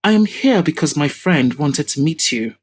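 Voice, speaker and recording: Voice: medium pitch, lively intonation, normal speed, breathy edge. Woman acting composed, diplomatic man. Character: composed, polite man accompanying a friend, diplomatic.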